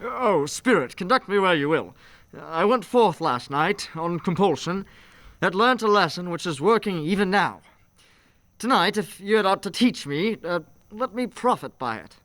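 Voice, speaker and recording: A man's voice: sits high, lively intonation, moderate pace, a bit bright. A man playing an anxious, humbled old miser in a radio play, with a hesitant, pleading manner. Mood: humbled, curious. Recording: very echoey, distant, faint music.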